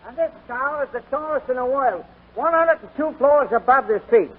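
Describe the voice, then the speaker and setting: An actor playing a practised tour guide addressing sightseers in a 1940s radio drama. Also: male voice, very high-pitched, expressive, brisk, husky, heavily acted. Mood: announcing. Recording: tinny, very noisy 1942 broadcast, crowd behind.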